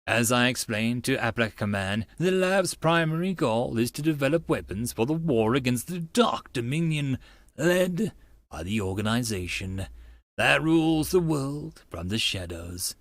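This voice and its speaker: A man's voice: medium pitch, animated, a little slow, a bit bright, dry recording. A man voicing a grandiose, theatrical young self-styled mad scientist in a first-person audiobook story, speaking pompously. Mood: conspiratorial. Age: young adult. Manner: pompous, melodramatic.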